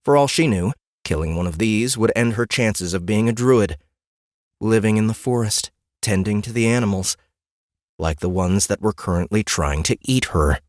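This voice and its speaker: A man narrates a fantasy audiobook in a low voice. The reading is scripted storytelling, captured close and very dry on a studio mic. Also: normal speed, darkish tone, dry recording.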